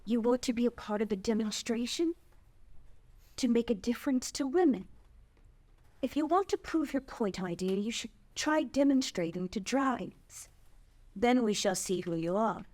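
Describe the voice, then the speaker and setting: Actress as stern, deliberate ancient great-grandmother. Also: on the high side, a little mellow, natural voice. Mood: challenging. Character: stern, regretful great-grandmother aged ninety-six. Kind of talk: dramatic screenplay table read.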